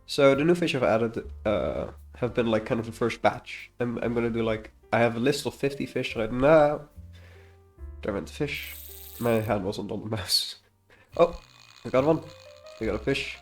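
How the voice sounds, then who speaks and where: A man's voice: medium pitch, lively intonation, normal speed. Slightly stuffed-up man rambling over music. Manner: rambling, hesitant, trailing off mid-thought. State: slightly congested from a cold. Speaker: indie game developer presenting a devlog. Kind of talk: casual unscripted screen-recording commentary.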